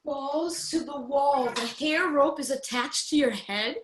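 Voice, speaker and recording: Over roomy echo and some noise, a woman plays a plucky young fairy-tale girl in a radio play. The voice is very high and unhurried, blunt and curious in casual modern phrasing. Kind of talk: irreverent radio play acting. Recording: roomy echo with noisy ambience.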